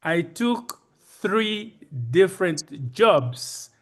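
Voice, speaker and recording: Male presenter, early seventies. High-pitched voice, halting and courteous delivery of a personal talk. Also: expressive, fairly bright tone. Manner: halting, deliberate, formal and courteous. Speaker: conference speaker, retired ophthalmologist.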